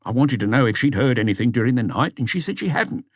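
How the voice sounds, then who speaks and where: A man's voice: mid-range voice, expressive, quick pace, dry recording, clean studio sound, voice pushed up. A man voicing a hesitant, deferential police inspector giving a report in an audiobook. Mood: uneasy, puzzled. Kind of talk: audiobook character dialogue.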